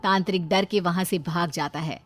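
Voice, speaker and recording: A woman's voice: lowish voice, moderate pace, noisy background. A woman narrating a cartoon story from a script. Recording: faint music behind.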